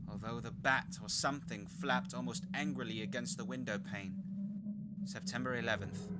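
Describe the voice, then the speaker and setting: A man's voice: medium pitch, very noisy, natural voice. An actor playing an earnest, troubled doctor who narrates from his journal in a radio horror drama. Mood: somber, subdued. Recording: loud music behind the voice.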